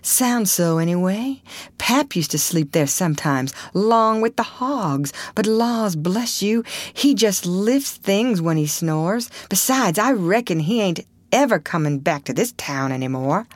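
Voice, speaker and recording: A woman's voice: mid-range voice, lively intonation, measured pace, no echo, pitched above the performer's own voice. A woman voicing a timid, woeful ragamuffin country boy in a storybook, plaintive and anxious. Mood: relieved, musing.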